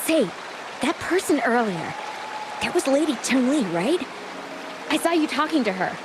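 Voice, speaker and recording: A woman's voice: sits high, very animated, moderate pace, background noise. A woman playing a curious, wide-eyed young townsperson in a video game, speaking expressively.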